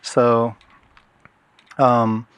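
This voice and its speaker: A middle-aged man from Washington State speaking as an interview guest, telling his story in a relaxed, hesitant way with many fillers. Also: medium pitch, drawn-out words, clear, resonant tone, no echo. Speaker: guest, security consultant. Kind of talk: casual interview talk.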